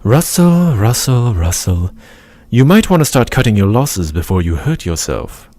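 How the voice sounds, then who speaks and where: A man's voice: lowish voice, big pitch swings, unhurried, darkish tone, dry recording, clean studio sound. A man voicing a clever, smug scholar with a pedantic, drily sarcastic manner, in a comedic fan dub. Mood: amused lecturing. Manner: sardonic, pedantic, articulate. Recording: music behind the voice.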